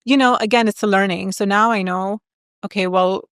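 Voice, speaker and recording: A woman's voice: medium pitch, normal speed, clear, resonant tone, no room sound. Woman, podcast guest, young adult, candid, chatty, dry close mic. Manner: candid, animated storyteller, lots of "like" and "um". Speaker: guest, agency founder. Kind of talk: casual interview chat.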